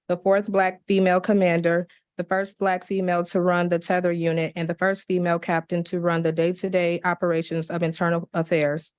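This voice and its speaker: A woman at a county commission meeting, reading public comment letters aloud in a steady, measured way with small slips and restarts. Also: lowish voice, smooth.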